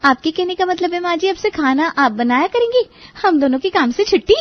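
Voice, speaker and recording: A woman's voice: very high-pitched, animated, brisk, no room sound, lo-fi sound. A woman playing a timid, polite young Indian daughter-in-law in a cartoon family drama, speaking deferentially and coaxingly. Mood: puzzled.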